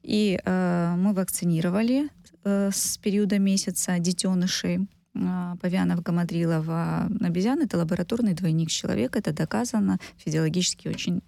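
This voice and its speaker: Low creaky-voiced woman expert. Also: warm tone, dry recording, studio quality. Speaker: medical researcher giving expert interview. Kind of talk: expert interview answers.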